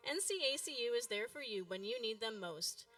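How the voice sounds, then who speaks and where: Female radio sponsor announcer, bright and higher-pitched, scripted copy, music in the mix. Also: lively intonation, fairly quick, far from the mic.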